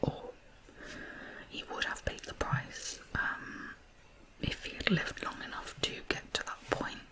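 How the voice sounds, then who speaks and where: A soft whisper with no voice in it, delivered very slowly. The host narrates true crime in ASMR style, reflective and a little hesitant as the thoughts come. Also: rough recording.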